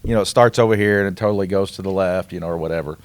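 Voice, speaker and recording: A man's voice: sits low, very fast, no room sound. A man co-hosting a podcast in casual chat, asking curious questions in a folksy way. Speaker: podcast co-host.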